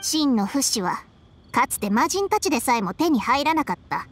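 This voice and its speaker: A woman's voice: high voice, very animated, normal speed, soft background music. A woman voicing a worldly, ancient female snake spirit in a game, with a blunt, knowing, familiar way of talking. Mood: wry, exasperated. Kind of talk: scripted game dialogue.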